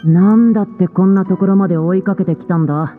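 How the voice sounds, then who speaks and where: A man's voice: sits high, fast, close to an everyday voice. An actor playing an irritable, brusque male playwright holed up with a script in a video game. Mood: irritated, surprised. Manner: grumbling, brusque. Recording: muffled, band-limited voice. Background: faint music.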